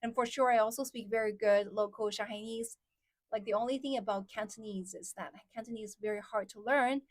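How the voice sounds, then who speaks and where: A woman from mainland China, speaking English, hosts a casual live stream and chats with her viewers. Her voice sits a little high. The sound is dry and close, with almost no room echo. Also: fairly even intonation, fairly quick. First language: Mandarin. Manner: chatty, laughs often, answers chat comments.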